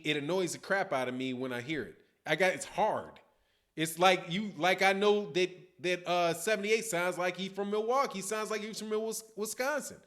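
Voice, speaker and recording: A man's voice: high voice, a bit bright. A middle-aged man with a Chicago accent, hosting a boxing livestream in loose, slangy casual chat while reading viewer comments. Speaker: boxing channel host. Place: dim room at home, indoors. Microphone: webcam, seated at desk.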